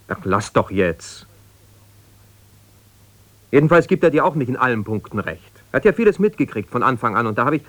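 A man's voice: mid-range voice, a bit bright, dry recording, lightly acted. Man acting an aggrieved, argumentative husband, close dry sound. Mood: evasive, irritated. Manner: halting, argumentative, reproachful, breaking off mid-sentence. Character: aggrieved, self-justifying husband, an ambitious lawyer. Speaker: actor in a radio play. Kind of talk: naturalistic 1970s German radio drama, one-sided conversation.

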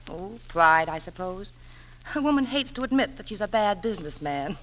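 An actress playing a gentle, resilient middle-aged mother, affectionate and composed, in a 1940s radio drama. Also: medium pitch, animated, normal speed, lightly acted. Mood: hesitant, subdued. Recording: noisy old 1940s radio broadcast.

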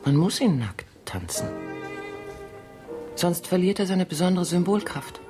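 A woman's voice: lowish voice, very animated, unhurried. Teasing self-assured femme fatale dancer in a radio play, swoopy intonation, music playing behind her. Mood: coquettish, assured. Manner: coquettish, teasing. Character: seductive, self-assured exotic dancer and society hostess. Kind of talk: German radio play acting.

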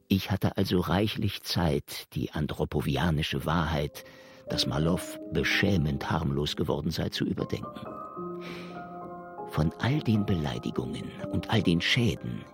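An actor in a German radio drama playing a world-weary, sardonic police investigator who speaks and narrates with dry, insolent irony. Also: male voice, a bass voice, gravelly, full character voice. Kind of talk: German radio drama acting with hard-boiled first-person narration.

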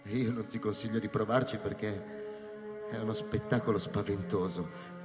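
An actor as a cynical, weary scientist, deep reverb. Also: male voice, fairly even intonation, normal speed, natural voice. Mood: grave, insistent. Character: cynical, weary male space-station scientist. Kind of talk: radio drama dialogue. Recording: reverberant hall with music behind.

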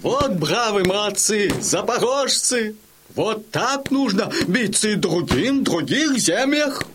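An actor playing a superior, opinionated French military engineer in a Russian audio drama, deliberate and exclamatory. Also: male voice, high voice, animated, noisy background. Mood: admiring. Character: cool, admiring French military engineer, critical expert.

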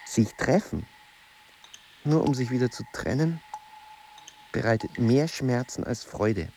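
A man playing a morbid, brooding middle-aged solitary writer in a radio drama, musing and halting as he speaks. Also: medium pitch, animated, dark tone, a little hiss or hum. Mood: somber, reflective.

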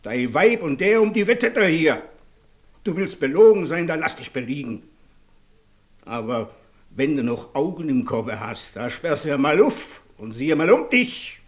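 Radio drama: stubborn, accusing man, fairly high voice, emphatic and colloquial. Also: big pitch swings, unhurried. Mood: indignant, accusing. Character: outspoken, indignant brother-in-law, accusing and stubborn. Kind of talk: German radio drama acting.

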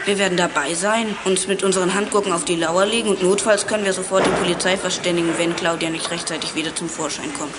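A woman's voice: on the low side, fairly even intonation, fast talker, hardly any pauses, lightly acted. A woman playing a confident, reassuring youngster from a detective gang in a youth radio play. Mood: reassuring, knowing. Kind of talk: 1980s German youth radio play acting. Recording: noisy old radio play recording.

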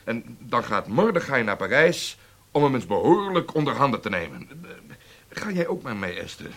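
A man's voice: medium pitch, sing-song, moderate pace, fairly bright tone. A stern, impatient spy chief in a radio play. His mid-range voice swings widely with emphasis as he speaks with demanding, indignant authority. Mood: commanding. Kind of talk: Dutch radio drama acting.

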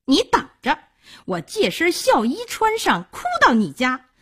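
A woman's voice: high-pitched, wildly swooping pitch, a little slow, a bit bright, voice pushed up, heavily acted. A woman storyteller playing a brazen, spiteful courtesan with a scornful, taunting, theatrical delivery. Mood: angry, threatening.

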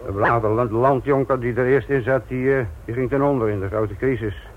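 An actor playing a blunt, sardonic, middle-aged police inspector lecturing trainees in a Dutch radio play. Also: male voice, mid-range voice, fairly even intonation, normal speed, close to an everyday voice. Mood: brisk, scornful. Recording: tinny, noisy old radio play recording.

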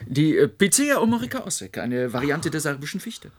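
A lively, precise tree expert. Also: male voice, a little high, a little fast, slight background noise. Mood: matter-of-fact, expert. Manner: precise, lecturing. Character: knowledgeable male botanical expert, a precise doctor. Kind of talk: German radio play acting.

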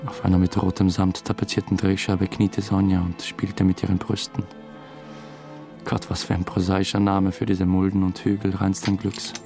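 An actor playing an uneasy, obsessive theatre dramaturge, confessing hesitantly in a radio drama. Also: male voice, sits low, completely flat delivery, moderate pace, vocal fry, dark tone. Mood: brooding, longing. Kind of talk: first-person radio drama narration. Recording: music under the voice. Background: music.

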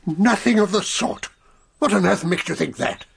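An actor playing a stuffy, protective old English colonel in a radio drama, proper and easily flustered. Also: male voice, high voice, moderate pace, creaky, breathy edge, exaggerated voice. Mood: indignant, flustered. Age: older.

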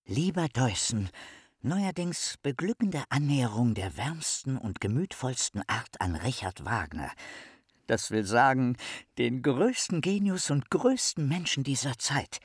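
An actor playing an earnest, awkward, high-minded young male professor in a radio play, with formal and pompous diction. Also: big pitch swings, unhurried, breathy edge, no room sound, clean studio sound. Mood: solemn, exalted. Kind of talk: German radio play acting and letter reading.

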